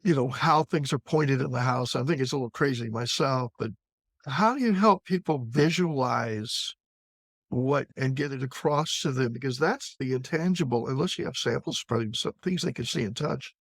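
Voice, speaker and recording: A man's voice: mid-range voice, normal speed, dry recording, clean studio sound. A man hosting a casual interview, putting loose, informal questions and remarks to his guest. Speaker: interviewer, host.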